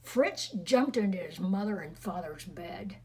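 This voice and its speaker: A woman's voice: on the low side, lively intonation, unhurried, a little mellow. A woman reading a storybook aloud expressively to children, with chatty, teasing asides to her listeners.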